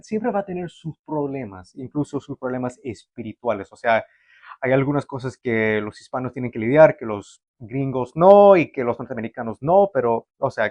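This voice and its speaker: Young male pastor, Canadian-born, casual sermon delivery, slightly high voice, dry close sound. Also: darkish tone, no room sound, dull audio. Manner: conversational, with frequent fillers and restarts. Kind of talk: sermon to a livestream audience.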